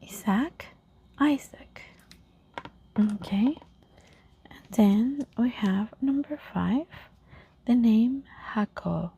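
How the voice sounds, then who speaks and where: Softly whispering woman teacher. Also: mid-range voice, breathy edge, highs cut off. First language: Spanish. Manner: soft whisper, repeats each word slowly. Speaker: language teacher. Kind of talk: whispered ASMR language lesson.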